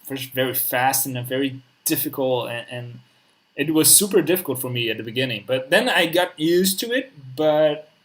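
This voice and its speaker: A man's voice: medium pitch, expressive, moderate pace, a bit bright. A man from Spain with a Spanish accent, a native Spanish speaker talking in English, hosting a casual conversation and often searching for words.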